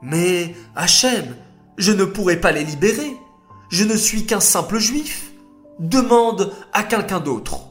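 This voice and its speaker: A man's voice: sits high, expressive, takes it slowly, fairly bright tone, soft background music, voice pushed up, full character voice. A man voicing an earnest, modest shepherd hero. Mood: pleading. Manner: earnest, pleading. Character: humble, kind shepherd hero, modest and gentle.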